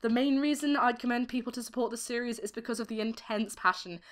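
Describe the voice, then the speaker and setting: A young woman giving articulate, expressive interview answers as an amateur voice actress. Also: a little high, fast. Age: young adult.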